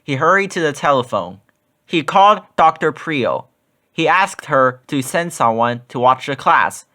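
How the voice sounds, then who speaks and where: A man's voice: a little high, expressive, unhurried, bright, forceful tone. A man reading a children's book aloud as scripted narration. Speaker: storybook reader.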